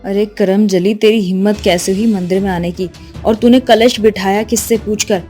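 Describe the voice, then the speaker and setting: A stern, scolding mother-in-law, voiced by a woman, talks down with open contempt. Her voice sits at a middling pitch. Soft background music plays under the dialogue. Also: fairly even intonation, normal speed, lightly acted. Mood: angry. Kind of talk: animated moral story dubbing. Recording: music bed behind voice.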